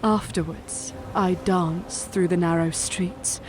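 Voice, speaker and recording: A woman performing a cold, vengeful witch who narrates her own dark tale in a deliberate, intense, dramatic way. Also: fairly low pitch, fairly even intonation, background noise. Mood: eerie, triumphant. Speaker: story narrator. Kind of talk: dramatic first-person scripted narration.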